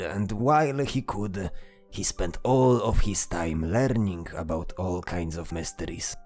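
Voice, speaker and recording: A man voicing a gentle old monster uncle who talks soothingly to a child in a fantasy audio drama, with a husky voice. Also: fairly low pitch. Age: older. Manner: soothing, reassuring, speaks slowly to a child. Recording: music behind the voice.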